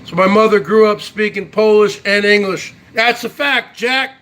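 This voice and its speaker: A man's voice: sits high, normal speed, fairly bright tone. A man in his forties hosting his own online show, chatting casually to viewers in a brash, boastful way and tagging his lines with "huh?". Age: 40s. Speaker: YouTube show host. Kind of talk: casual solo chat to viewers.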